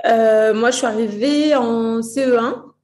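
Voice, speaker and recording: Young woman from Portugal, interview guest; higher pitch, hesitant, fillers. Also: unhurried, crowd noise. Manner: hesitant, many fillers and restarts. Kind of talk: casual interview chat.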